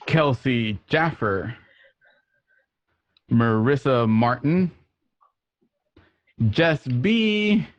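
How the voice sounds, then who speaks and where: A man's voice: mid-range voice, no room sound. A man hosting a radio show, presenting the intro in an announcer-like way. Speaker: host. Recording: dull lo-fi home recording.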